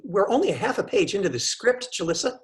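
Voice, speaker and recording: Pompous, halting male professor. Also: high voice, very animated. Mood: exasperated. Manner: halting, theatrical, stammering when challenged. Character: pompous, thin-skinned male professor and would-be playwright. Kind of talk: scripted comedy acting on a video call.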